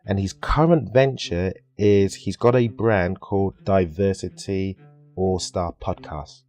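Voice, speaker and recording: A man's voice: sits low, measured pace, a little mellow, soft background music. A British man hosting a podcast in a casual, jokey, chatty way. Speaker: podcast host.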